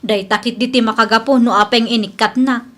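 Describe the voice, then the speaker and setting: Radio drama: woman plays wronged young female worker, very high register, complaining little-sister delivery. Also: steady tone, a little fast, fairly bright tone, close to an everyday voice. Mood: aggrieved. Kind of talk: Ilocano radio comedy drama acting.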